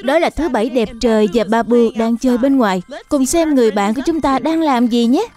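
High-voiced woman narrating for children. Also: a little fast, warm tone. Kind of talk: scripted children's story narration.

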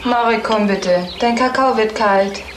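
A woman's voice: on the high side, measured pace, close to an everyday voice. An actress playing a fussy, prim and insistent mother and hostess in a radio play. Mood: scolding. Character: fussy, polite hostess mother, scolding her small son. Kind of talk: German radio play dialogue. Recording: noisy recording with room ambience.

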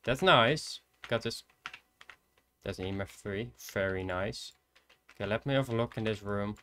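An eighteen-year-old man with a Dutch accent, quietly thinking aloud as he streams a horror game from his computer desk. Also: fairly low pitch, moderate pace, slight background noise. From Netherlands. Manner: soft-spoken, hesitant, thinking aloud. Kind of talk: casual gameplay commentary. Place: indoors at a computer.

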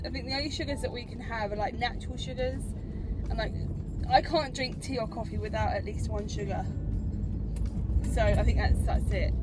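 This is a young woman with a British accent and a fairly high voice. She rambles in a casual, conversational way, as a vlogger does when talking to the camera from the driver's seat. Music plays loudly behind her in the car. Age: young adult. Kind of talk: casual chat to camera while driving. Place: inside a moving car. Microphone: camera mounted on the dashboard.

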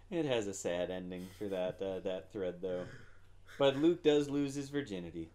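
A man's voice: on the low side, dark tone. A young man from Ohio co-hosting a podcast, teasing playfully and laughing often during film commentary. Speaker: podcast co-host. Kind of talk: comedic film commentary chat.

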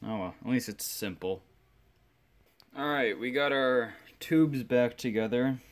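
A man's voice: medium pitch, measured pace. A man giving casual repair commentary as a hobbyist, rambling and self-deprecating as he thinks aloud. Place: indoor workshop bench.

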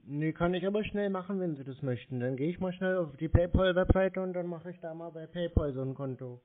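Man, slightly high voice, casual, naive-sounding caller, thin telephone audio. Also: brisk. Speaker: prank caller posing as customer. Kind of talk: casual phone conversation. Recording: narrow phone-quality sound.

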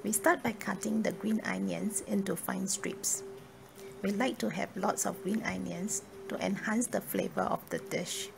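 Very creaky-voiced woman narrating a recipe. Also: mid-range voice, fairly even intonation, measured pace, noisy background. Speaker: cooking video narrator. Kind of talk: scripted instructional narration. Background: music.

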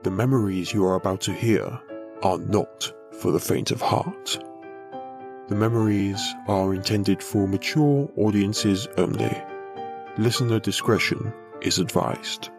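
A man's voice: fairly low pitch, vocal fry. A man playing an ominous announcer introducing a horror audio anthology.

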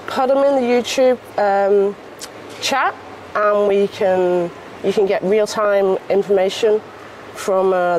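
A woman with a Northern English accent commentates live, chatty and brisk, asking quick follow-up questions. Her voice sits at an ordinary pitch. The recording carries a clear layer of background noise. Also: darkish tone, low-quality recording.

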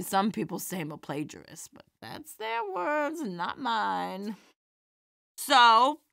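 A woman playing an elderly, kindly widowed stand-up comedienne in an audio drama, chatty and animated. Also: mid-range voice, no room sound, studio quality.

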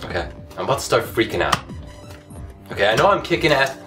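A man in his thirties doing comedic game commentary at home, cocky and self-hyping, going hoarse as he swings from low mutters to shouted outbursts. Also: sing-song, quick pace. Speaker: comedy gaming host. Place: home room, indoors. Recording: somewhat distant mic, loud game music behind.

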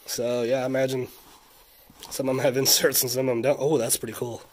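A man's voice: medium pitch, flat delivery, a little fast, a little mellow. A man in his thirties, congested from a sinus infection, chatting casually as he shows off a haul indoors. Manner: chatty, easily impressed, drifts into a rant. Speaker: vlogger showing a haul. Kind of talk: casual haul vlog chat.

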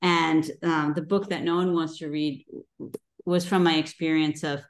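Low-voiced chatty woman on a video call. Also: little pitch movement, a little slow. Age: middle-aged. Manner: chatty, many ums. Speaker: guest author. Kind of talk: casual video call talk.

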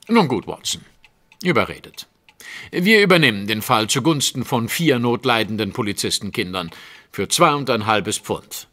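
A self-assured gentleman detective in a radio play. His voice is sharp and lively, with dry, mocking delivery and brisk confidence. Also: mid-range voice. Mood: wry. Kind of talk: German detective radio drama acting.

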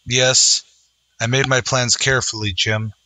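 A man's voice: mid-range voice, steady tone, measured pace, bright, forceful tone, no echo. A man playing an earnest, resolute lawman hero in an old-time western radio drama, speaking in solemn declarations.

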